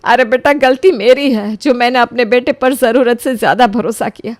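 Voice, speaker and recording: A woman's voice: a little high, fairly even intonation, normal speed, no echo, close to an everyday voice. A woman playing a wronged elderly mother-in-law in a dramatic audio story, speaking solemnly and with emotional emphasis. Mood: resolute, regretful.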